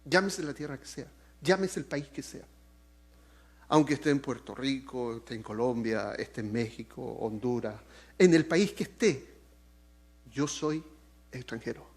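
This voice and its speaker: A man from Chile with a Chilean accent, preaching a sermon with lively swings in pitch and loudness and joking asides to the congregation. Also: a little slow, pauses often. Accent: Chilean Spanish. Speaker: pastor.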